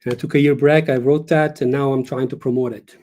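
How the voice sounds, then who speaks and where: A young man speaks over a video call as a guest author. His tone is mellow and dark. He talks in earnest and lays out each point with short pauses. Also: medium pitch, level tone. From Turkey. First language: Turkish. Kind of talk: casual interview over a live stream.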